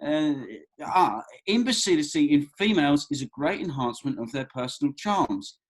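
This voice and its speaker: A man's voice: on the high side, expressive, normal speed, poor audio. An actor playing a cheeky, bumbling young British man, colloquial and hesitant, in a read-through of a comedy script. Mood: backpedalling. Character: easygoing, cheeky, bumbling young bloke at festival.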